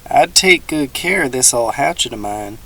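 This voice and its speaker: Gruff old swamp hermit with a gravelly backwoods drawl and a raised, louder voice, read by a man. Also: mid-range voice, animated, moderate pace, full character voice. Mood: folksy. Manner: folksy backwoods drawl, growling.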